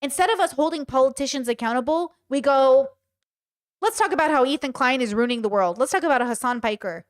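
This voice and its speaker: A woman's voice: high-pitched, lively intonation, fairly quick. Candid, swearing woman streamer. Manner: candid, profane, talks to her audience with rhetorical questions. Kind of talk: livestream commentary, reading chat.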